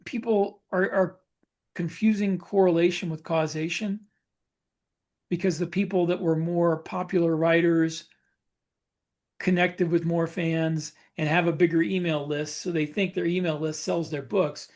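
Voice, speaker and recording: A man chatting casually as a podcast co-host, thinking aloud in halting phrases that he often repeats. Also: fairly high pitch, steady tone. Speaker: podcast co-host and fiction author.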